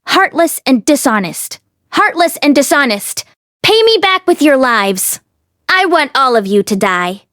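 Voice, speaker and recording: A woman's voice: high-pitched, big pitch swings, unhurried, a bit bright, no room sound, studio quality, heavily acted. A woman voicing the vengeful ghost of a young girl in an audiobook, crying out mournful accusations.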